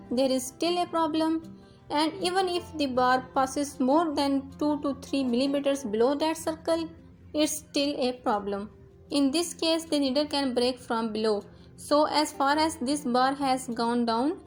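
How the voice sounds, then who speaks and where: A young woman whose first language is Urdu, patiently talking through a sewing machine fix step by step as host of her tutorial channel. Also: high voice. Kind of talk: instructional voice-over.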